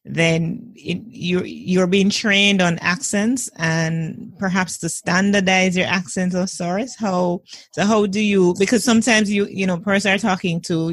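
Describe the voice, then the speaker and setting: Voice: mid-range voice, no echo. A podcast host from Jamaica with a mid-range voice, recorded dry and close. The questions run long and wind around with fillers before they land. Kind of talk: podcast interview.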